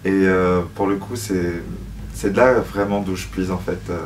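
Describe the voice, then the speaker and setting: A man with a low, fairly flat voice speaks reflectively in an interview. He hesitates often as he searches for words. The microphone sits at some distance from him. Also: normal speed, a little hiss or hum. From Switzerland (Lausanne), born in Paris. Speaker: musical theatre actor, interview guest.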